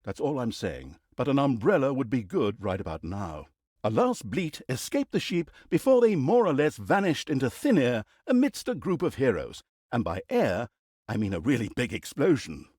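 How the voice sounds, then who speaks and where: A man's voice: mid-range voice, big pitch swings, moderate pace, darkish tone, husky, dry recording, studio quality. A male actor playing a wry, theatrical storybook narrator in a fantasy video game, with a sardonic, mock-grand delivery. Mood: sardonic, amused.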